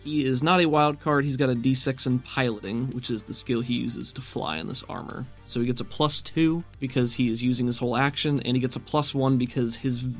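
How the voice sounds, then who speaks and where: A man's voice: mid-range voice, a little fast, hardly any pauses, soft background music. A man running a tabletop roleplaying game, explaining rules and narrating the action in an informal, conversational way. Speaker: game master.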